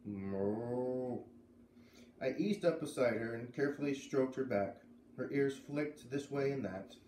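Guy in his 30s reading a kids book out loud, mic kinda far off, echoey living room. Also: medium pitch. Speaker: storyteller reading a children's novel aloud. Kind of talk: read-aloud narration with casual asides. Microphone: camera a few metres away. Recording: roomy, distant camera mic with faint noise.

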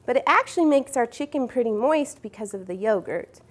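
Cooking show host: young woman, high voice, friendly step-by-step kitchen explaining. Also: expressive, fairly quick. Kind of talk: cooking demonstration. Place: home-style studio kitchen.

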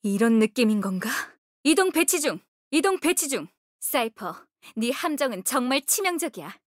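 A woman's voice: high-pitched, very animated, moderate pace, no echo, studio quality. A woman voicing a resolute, composed young female healer and guardian in a video game, speaking firmly with a solemn, commanding manner. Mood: composed, determined. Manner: solemn, commanding, short crisp delivery. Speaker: game character voice actor. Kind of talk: video game character voice lines.

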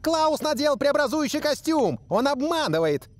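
A man's voice: very high-pitched, big pitch swings, normal speed, faint music behind. A man voicing a scheming older rival football coach in a cartoon dub, indignant and commanding.

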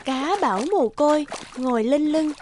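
A woman's voice: high voice, normal speed, darkish tone, a little hiss or hum. A woman reading scripted narration for a children's fairy tale.

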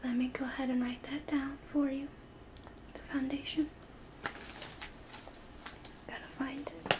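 Young woman, breathy ASMR whisper, slow and attentive consultant roleplay, noisy home recording. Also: sits high, flat delivery. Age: young adult. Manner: soft, unhurried, attentive customer-service talk. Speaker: ASMR creator playing a makeup consultant. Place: small furnished living room at home. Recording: noticeable background hiss and room noise.

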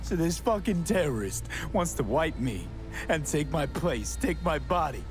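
Higher-pitched, brash cyberpunk mercenary. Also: male voice, big pitch swings, unhurried. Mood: disbelieving. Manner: brash, sweary, emotionally raw. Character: defiant, desperate young male cyberpunk street mercenary. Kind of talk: cinematic video game dialogue. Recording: music behind the voice.

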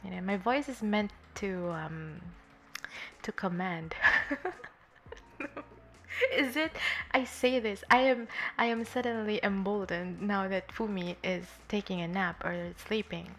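A woman's voice: medium pitch, a little slow, a bit bright. A young woman streaming from home, chatting casually while she draws, giggling often and rambling with lots of fillers into a desktop microphone. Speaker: streamer drawing live. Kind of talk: casual chat while drawing. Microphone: desktop USB microphone.

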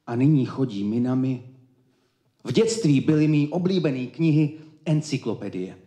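Male slam poet, mid-pitched, emphatic declamation with deliberate pauses, reverberant live room. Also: dark tone. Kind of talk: slam poetry performance. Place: indoor cultural evening event, live before an audience.

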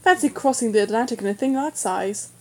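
A woman's voice: on the high side, a little fast, mellow, lightly acted. A woman voicing a spirited, sensitive young schoolgirl who protests plaintively in a storybook reading. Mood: annoyed.

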